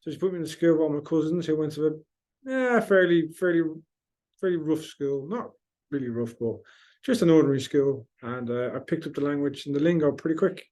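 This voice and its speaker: A man's voice: fairly high pitch, a little fast, darkish tone. A middle-aged man born in the Netherlands, with an Irish accent that keeps a trace of Dutch. He talks in a direct, wry way as a podcast guest, with frequent ums and restarts. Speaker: podcast guest, host's husband. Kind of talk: casual conversation.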